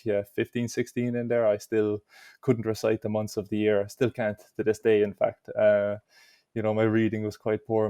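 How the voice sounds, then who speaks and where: A man's voice: on the low side, dark tone, no echo. A young Irish man answering interview questions in an easygoing way, with lots of "you know". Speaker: interview guest.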